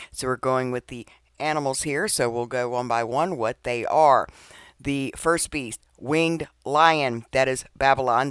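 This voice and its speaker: A man's voice: mid-range voice, a bit bright, clean tone, no room sound, studio quality. A man hosting, explaining prophecy in a conversational way with many fillers. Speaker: host. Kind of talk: casual bible teaching.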